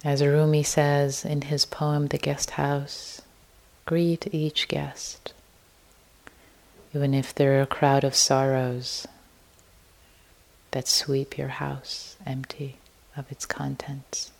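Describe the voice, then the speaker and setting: A woman meditation teacher with a low, mellow voice guides a practice. She speaks gently and very slowly, in short soft phrases that leave space between them. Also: flat delivery, vocal fry.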